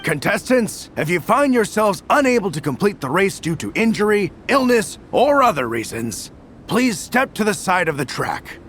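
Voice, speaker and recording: A man voicing a formal, upbeat race referee in a video game, announcing in a measured, drawn-out way. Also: sits high, big pitch swings, a bit bright, slight background noise. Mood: formal, welcoming. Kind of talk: ceremonial event announcing, scripted game dialogue.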